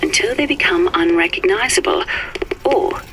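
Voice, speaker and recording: A woman's voice: fairly low pitch, animated, normal speed, creaky. An actress playing a composed, intellectual woman physicist of the early 1900s, speaking a game audio diary in a precise, dryly aloof manner. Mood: detached, reflective. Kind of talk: scripted audio-diary monologue. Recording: noisy old-time audio-diary recording.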